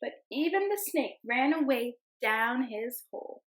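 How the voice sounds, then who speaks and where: A young woman teacher reading a picture book aloud to her class in a gentle storytelling voice, calling the animals in a sing-song tone. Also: sits high, expressive, unhurried. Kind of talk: children's read-aloud.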